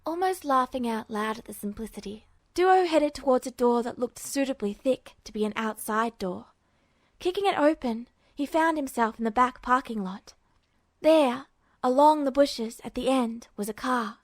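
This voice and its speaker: A woman's voice: high voice, expressive, moderate pace, no room sound. A woman reading scripted fiction aloud as a storyteller, narrating the action. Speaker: narrator, fan fiction reader.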